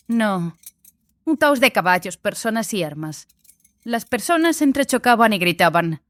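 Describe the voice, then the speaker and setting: A woman's voice: mid-range voice, big pitch swings, measured pace, fairly bright tone, dry recording, studio quality. A woman playing a frank, touchy young female sorcery student who sees visions in dreams, defensive and sardonic, in an audiobook drama.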